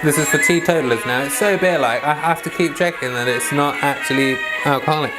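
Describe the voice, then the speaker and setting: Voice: mid-range voice, brisk, over loud music. A vlog host with a playful, self-deprecating, informal way of talking, moving between casual chat and reading aloud. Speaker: host of a Bible read-along vlog. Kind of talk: casual rambling chat, then scripture reading.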